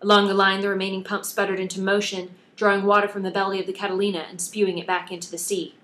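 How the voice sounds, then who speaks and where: A woman's voice: mid-range voice, flat delivery, quick pace, a bit bright. A woman author reading scripted narration from her own novel, audiobook style.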